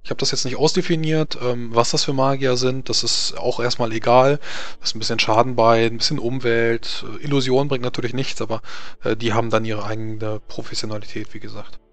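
A man's voice: mid-range voice, fairly even intonation, brisk, fairly bright tone, no room sound. Man game master, dry close sound. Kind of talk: explaining tabletop wargame rules.

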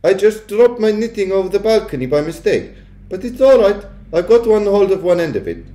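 A man's voice: sits high, sing-song, brisk, warm tone, reverberant, voice pushed up. A man voicing a polite, composed, chatty old grandmother in a storybook. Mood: cool chattiness.

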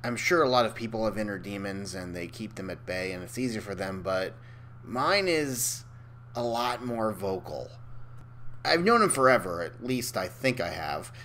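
Troubled young man voiced by a male actor, exasperated and confessional, very expressive pitch, dark comedy cartoon. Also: normal speed, a little hiss or hum. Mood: weary confiding. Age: young adult. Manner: wry, hesitant, confessional. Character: anxious, exasperated young man with an inner demon. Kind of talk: animated dark comedy narration and dialogue.

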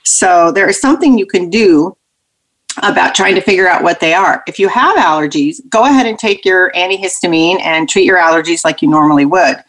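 A woman physician explaining health topics on a radio talk show in a practical, even manner. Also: lowish voice, fairly quick, rough recording. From Pacific Northwest, US. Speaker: physician guest.

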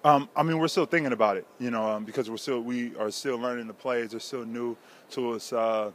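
A young man, a basketball player, answering thoughtfully in a relaxed way after a game, standing in an indoor arena. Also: mid-range voice, a little fast, slight background noise. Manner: relaxed, thoughtful, many fillers. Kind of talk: post-game interview.

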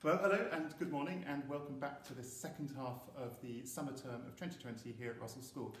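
Man, thirties, teacher; medium-pitched voice, informal hesitant introduction, strong room echo. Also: fairly even intonation, a little fast. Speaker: school teacher introducing assembly. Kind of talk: informal welcome to camera. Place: small music room, camera a few feet away. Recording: echoey room, faint noise.

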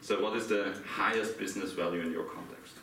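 Austrian-accented man, deep, bright in a roomy hall. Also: steady tone, fairly quick. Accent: Austrian German. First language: German. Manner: asks the audience questions, laughs lightly. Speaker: conference speaker. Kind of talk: interactive conference talk. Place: dim hotel conference room, small audience. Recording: echoey room from far off, faint hiss.

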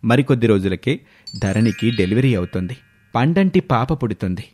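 A man's voice: on the low side, animated, moderate pace, a little mellow, soft background music, close to an everyday voice. A man narrating a scripted story as the narrator.

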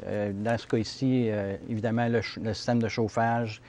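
A man's voice: lowish voice, level tone, moderate pace, a little mellow. A man in his fifties with a Canadian French accent, explaining his trade hesitantly as a contractor guest in an interview. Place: TV studio set, seated on sofa.

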